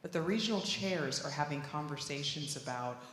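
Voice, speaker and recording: A woman's voice: deep voice, expressive, normal speed, a bit bright. A woman speaking on a conference panel in a conversational way, with frequent "you know" fillers. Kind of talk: panel discussion answer. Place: conference room. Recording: roomy hall with faint noise.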